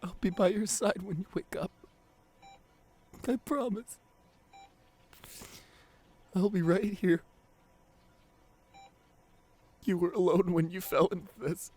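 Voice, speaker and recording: A man acting a devoted, guilt-ridden boyfriend at a bedside in an intimate ASMR roleplay, speaking tenderly and haltingly with a lot of emotion. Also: high voice, darkish tone, slightly rough. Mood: earnest, pleading. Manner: tender, halting, emotional delivery with repeated phrases.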